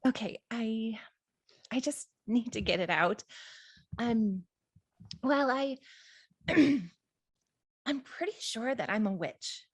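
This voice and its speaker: A woman's voice: a little high, lively intonation, takes it slowly, pauses often, husky, dry recording, clean studio sound, heavily acted. A woman playing an earnest, hopeful young amateur witch in a table read, speaking candidly and conversationally. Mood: nervous. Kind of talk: informal script table read.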